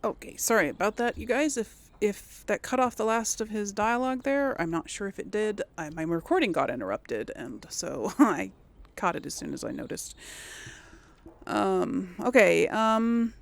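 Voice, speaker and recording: A woman's voice: mid-range voice, expressive, moderate pace, vocal fry. A woman giving casual video game commentary as a host, chatty and hesitant as she thinks aloud.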